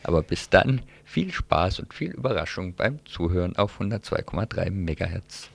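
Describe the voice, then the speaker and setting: Male radio host, very low pitch, fry-laden, casual on-air announcing. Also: big pitch swings, moderate pace, heavy background noise. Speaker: radio presenter.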